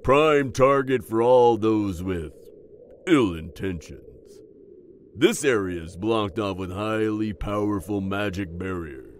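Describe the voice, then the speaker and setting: A man's voice: on the low side, slow pace, a little hiss or hum. A man playing a jovial, battle-seasoned dwarf king in a fantasy audio drama, speaking in a genial, regal manner. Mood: genial, explaining. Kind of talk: fantasy audio roleplay acting.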